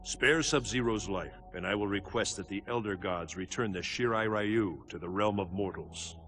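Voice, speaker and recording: An actor playing a wise, protective elder mentor in a video game, giving measured, solemn counsel. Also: male voice, fairly low pitch, fairly bright tone, faint music behind, natural voice. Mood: grave, counselling. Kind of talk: dramatic video game acting.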